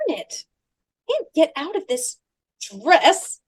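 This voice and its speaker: A woman playing a high, needling radio wife. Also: sing-song, slow, distant mic, full character voice. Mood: chatty. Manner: prim, needling, mock-sweet. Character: nagging, extravagant wife, sweetly needling. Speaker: radio play actress. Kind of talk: 1940s radio comedy acting.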